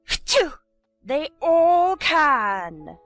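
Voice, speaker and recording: Slow, hoarse, snappish Duchess. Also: high voice, very animated, fairly bright tone, no echo, faint music behind, pitched above the performer's own voice, heavily acted. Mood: scornful. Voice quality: hoarse, growling. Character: rude, bad-tempered Duchess, hoarse growling grown woman. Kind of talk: dramatized storybook audiobook.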